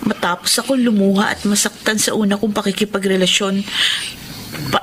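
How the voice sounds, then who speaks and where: An actress playing an earnest, contrite young student in a Filipino radio drama. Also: female voice, medium pitch, fairly even intonation, a little fast, slight background noise, close to an everyday voice. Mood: pleading.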